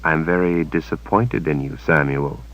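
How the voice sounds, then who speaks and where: A man voicing a cold, smug witch woman who speaks in calm, measured, menacing tones. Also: a bass voice.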